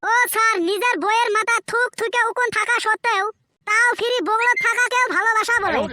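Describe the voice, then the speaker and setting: Brash dim cartoon schoolkid, very high squeaky voice, rapid talk, comic backtalk. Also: steady tone. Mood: smug reciting. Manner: brash backtalk in rustic village dialect. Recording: very noisy homemade cartoon dub.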